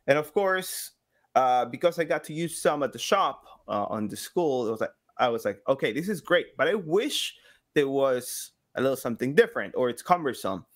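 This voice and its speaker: A man in his thirties chatting casually as a podcast guest, telling anecdotes in an expressive, joking way. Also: medium pitch, normal speed. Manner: joking, expressive, hands-on storyteller. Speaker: podcast guest, game sound designer.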